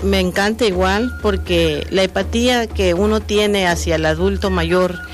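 A woman's voice: mid-range voice, a little slow. A woman, a literacy tutor, answering in a radio interview with many hesitant fillers and restarts. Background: music.

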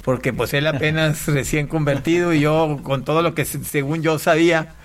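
A man from Panama, a pastor and guest, telling his faith story in conversation, halting with frequent restarts. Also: a little high, fairly even intonation. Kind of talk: conversational testimony.

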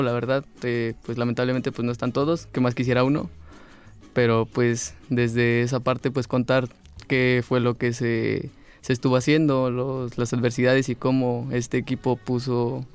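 A young man speaks as a student guest, hesitant and filling pauses with "eh" and "este". His pitch stays flat and even. Faint music sits in the background. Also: clear, resonant tone.

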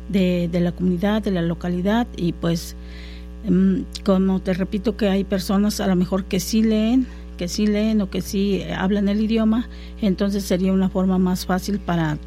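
Hesitant woman, mellow voice. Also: mid-range voice. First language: Totonac. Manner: hesitant, many fillers and restarts. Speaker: guest interviewee. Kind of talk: conversational interview.

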